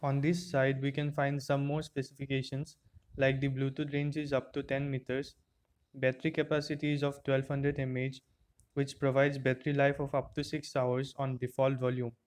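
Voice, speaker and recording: A man presents a scripted product review through an earphone mic. His voice is smooth and clear, with an average pitch that stays quite level as he explains things plainly, step by step. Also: completely flat delivery, moderate pace. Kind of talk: scripted unboxing and review narration. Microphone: earphone microphone.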